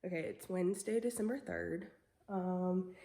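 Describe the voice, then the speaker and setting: A woman's voice: on the low side, moderate pace, gravelly, mellow. A young woman vlogging casually, chatty with lots of "um" and "like", sounding congested and nasal from a cold. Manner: chatty, many fillers like "um" and "like". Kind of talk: casual vlog chat.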